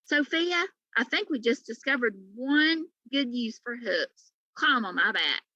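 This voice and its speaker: A woman's voice: a little high, expressive, measured pace, bright, forceful tone, no room sound, muffled recording, full character voice. A woman voicing a plucky, kind young female pig in a storybook, with an earnest, eager manner. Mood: eager, coaxing.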